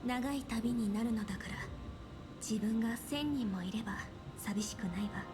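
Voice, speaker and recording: An actress playing a solemn, resolute young female saint in a video game, with a grave, measured, breathy delivery. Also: on the high side, natural voice. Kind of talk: Japanese video game voice acting. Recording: game music under the voice.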